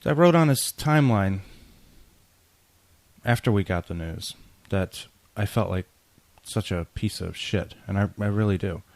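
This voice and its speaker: Low-voiced man, close dry sound. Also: measured pace, a little mellow, dry recording. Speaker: podcast host. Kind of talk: personal spoken tribute.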